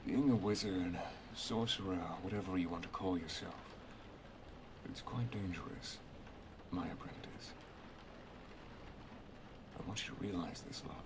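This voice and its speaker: Low-voiced, hushed wizard master played by a man. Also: steady tone, measured pace, pauses often, noisy background. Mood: earnest, cautioning. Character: protective, affectionate male wizard master teaching his apprentice and lover. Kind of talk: ASMR roleplay, intimate second-person monologue.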